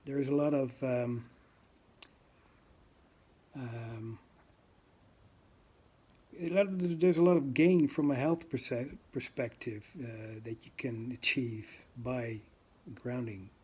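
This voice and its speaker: A man's voice: mid-range voice, takes it slowly, pauses often, a little mellow, slight background noise. A man from the Netherlands with a Dutch accent, talking to camera in his first vlog, hesitant and full of ums and uhs as he searches for words. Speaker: vlogger. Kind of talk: unscripted talk to camera.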